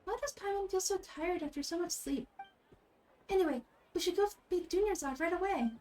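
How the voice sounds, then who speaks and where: A woman voicing a small, childlike fairy game companion who is excitable and nosy, with a squeaky high voice full of questions and exclamations. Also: brisk, slight background noise. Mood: curious, concerned. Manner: exclaiming, questioning, speaks of self in third person.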